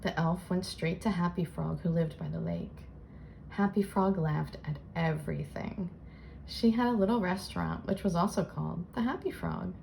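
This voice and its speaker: A woman reading a children's storybook aloud in an expressive storytelling style, as host. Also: lowish voice, moderate pace, gravelly, a little hiss or hum, rough recording. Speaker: children's book reader and channel host.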